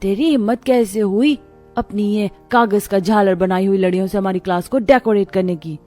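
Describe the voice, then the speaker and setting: A woman's voice: mid-range voice, moderate pace, dark tone, soft background music, natural voice. A woman voicing a haughty, bullying rich schoolchild, scornful and bossy, in a cartoon story.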